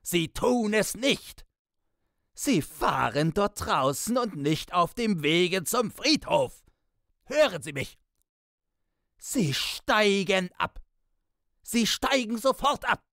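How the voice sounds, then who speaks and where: A man's voice: sits high, big pitch swings, takes it slowly, pauses often, fairly bright tone, dry recording, clean studio sound, voice pushed up, full character voice. A man voicing an enraged, indignant man who bellows helpless curses in a dramatised literary story. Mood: screaming rage. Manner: bellowing, ranting in exclamations and insults.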